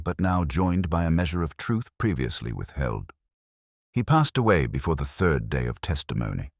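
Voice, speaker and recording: Very deep-voiced male story narrator. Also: a little mellow, no echo, studio quality. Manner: measured, solemn storytelling. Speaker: audiobook narrator. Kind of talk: scripted literary narration of a Victorian detective story.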